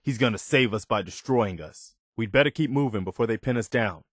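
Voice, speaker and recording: An actor playing an earnest, dutiful, plainspoken militia lieutenant in a post-apocalyptic video game. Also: male voice, lowish voice, brisk, no echo, studio quality, lightly acted. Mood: serious. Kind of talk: video game character dialogue.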